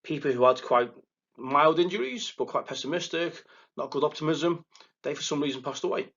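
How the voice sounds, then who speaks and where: A man with a thick Liverpool accent giving a recorded lecture as a psychotherapist, excitable and quick, often stumbling and restarting his words. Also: on the high side, dull audio. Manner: fast, excitable delivery with verbal stumbles and restarts. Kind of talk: recorded lecture to counselling students. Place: presenting alone without a live audience.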